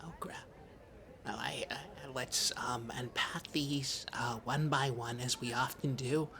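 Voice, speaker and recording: Man playing timid small-town podcast host, bright voice, childlike earnestness, stammering, rambling improv comedy. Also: mid-range voice, steady tone, measured pace. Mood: hesitant, earnest.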